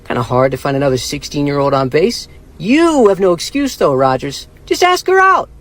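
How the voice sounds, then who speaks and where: Man playing a cocky, high-voiced American teenage sidekick. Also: big pitch swings, moderate pace. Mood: brash encouragement. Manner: brash, teasing, slangy. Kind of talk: audio drama acting.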